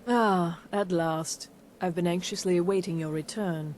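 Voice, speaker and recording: A woman's voice: lowish voice, fairly even intonation, measured pace, darkish tone, slight background noise, close to an everyday voice. A woman playing a composed, solemn assassins' guild agent in a fantasy video game, speaking formally and with measure. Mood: pleased. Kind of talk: video game character dialogue.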